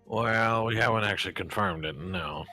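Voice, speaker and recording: A brusque, middle-aged police inspector who brushes off every question in a casual, dismissive way, read aloud by a man. His voice sits very low yet comes across bright and forceful. This is game dialogue, voiced as a fan dub. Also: normal speed, soft background music. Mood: offhand. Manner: offhand, dismissive, colloquial.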